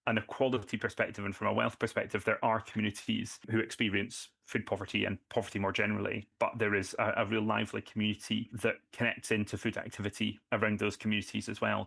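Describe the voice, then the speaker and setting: A man's voice: fairly low pitch, creaky, fairly bright tone, husky. A man from a food partnership answering an interview question earnestly.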